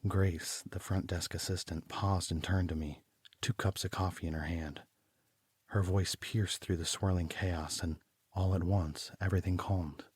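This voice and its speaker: An actor playing a troubled homicide detective, narrating his case in a deliberate, brooding, literary style for an audio drama. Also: low-pitched, steady tone, breathy edge, dry recording. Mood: brooding, uneasy. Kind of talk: scripted first-person noir audio drama narration.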